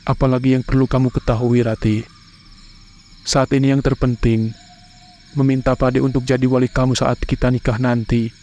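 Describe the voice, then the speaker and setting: A man's voice: mid-range voice, little pitch movement, normal speed, long pauses, mellow, natural voice. A man playing a worried, weary young fiancé in a horror cartoon, with an Indonesian accent. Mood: concerned, insistent. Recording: clear insect and cricket noise behind. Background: night insects, crickets, ambience.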